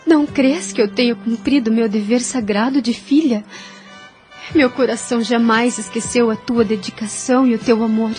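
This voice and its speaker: Woman playing devout young woman, gentle, solemn, fairly high voice, Brazilian accent, radio drama, soft music behind. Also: fairly even intonation, normal speed, natural voice. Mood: earnest, devoted. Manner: tender, solemn, formal phrasing.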